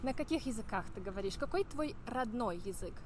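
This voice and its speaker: A woman hosting an interview in a clear, teacherly way, repeating and rephrasing her guest's answers. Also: fairly high pitch, lively intonation, fairly quick, a little mellow, a little hiss or hum. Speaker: host. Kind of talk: casual interview.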